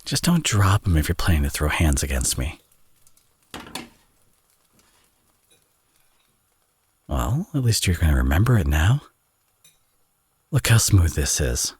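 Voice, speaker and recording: A man playing a teasing villain who is a tender father, in an intimate ASMR audio roleplay, with a husky, breathy, soft-spoken delivery. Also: a bass voice, sing-song, moderate pace, no room sound, heavily acted. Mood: playful, teasing.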